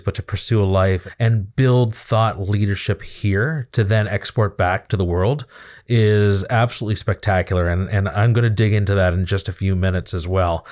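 A man's voice: fairly low pitch, darkish tone. A man hosting an interview podcast, introducing his guest and asking questions in an enthusiastic, polished way. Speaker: podcast host.

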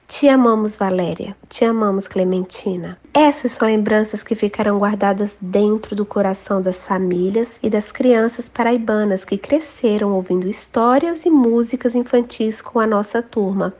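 A woman, a storyteller narrating memories in an expressive, sentimental way. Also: medium pitch. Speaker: children's storyteller. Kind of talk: scripted nostalgic personal narration.

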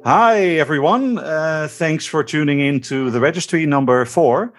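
A man with a Dutch accent hosting a podcast in casual, joking chat, with halting, stammering speech. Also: a little high, soft background music. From Netherlands. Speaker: podcast host.

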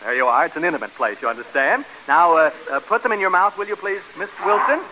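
A man with a high-pitched voice hosts an old-time radio game show. He is jovial and teasing and tends to stammer through his banter. The broadcast is old and clearly noisy. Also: fast talker, sharp tone. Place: radio show stage before an audience.

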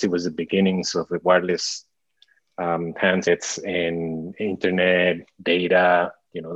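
A man's voice: low voice, fairly bright tone, no echo, highs cut off. A man in his forties from Mexico, a podcast guest talking casually, with many restarts and fillers. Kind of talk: casual interview chat.

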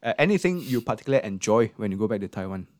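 A man's voice: fairly low pitch, sing-song, very fast, a little mellow, no echo, clean studio sound. A man hosting a casual, conversational interview. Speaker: podcast host, interviewer.

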